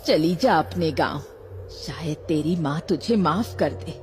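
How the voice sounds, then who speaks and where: A woman's voice: low-pitched, a little slow, darkish tone. A woman playing a knowing, reproachful older neighbour aunt with a pointed manner in a cartoon horror story. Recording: music under the voice.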